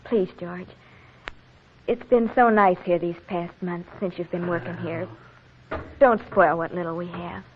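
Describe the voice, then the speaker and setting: A woman's voice: fairly low pitch, fairly even intonation, a little slow, pauses often, a little hiss or hum, lightly acted. Earnest, anxious country daughter on tinny old radio, acted by a woman. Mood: pleading. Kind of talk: 1940s radio drama acting.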